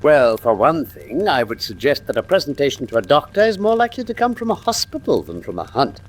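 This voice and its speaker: Posh-mannered english detective man, very animated, teasing and a bit superior, old school radio play. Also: fairly high pitch, brisk. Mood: coolly superior. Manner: precise, condescending, theatrical, crisp phrasing. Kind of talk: classic British radio drama acting.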